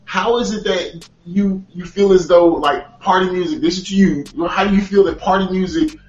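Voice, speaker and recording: High pitched guy arguing and joking on a podcast, sounds far off, echoey room. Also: normal speed, highs cut off. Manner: joking, argumentative, swears and laughs a lot. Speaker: podcast co-host. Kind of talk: casual banter. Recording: roomy, away from the mic.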